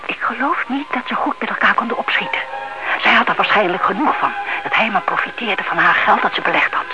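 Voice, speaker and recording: An actress playing a stubborn, mischievous elderly aunt and amateur sleuth in an old Dutch radio play, airy and insistent, with a Netherlands accent. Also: female voice, medium pitch, animated, a little fast, loud music behind the voice, close to an everyday voice. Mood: insistent, gossipy. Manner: airy, insistent, unflappable, chattering. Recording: dull, noisy old radio recording.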